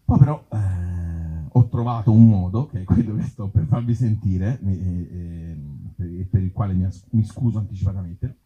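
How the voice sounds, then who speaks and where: A man's voice: sits low, moderate pace, phone-quality sound. A man talking informally on stage as an author presenting his work, wry and self-deprecating. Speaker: author and actor presenting his own book. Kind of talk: informal live stage talk. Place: outdoor festival in an olive grove.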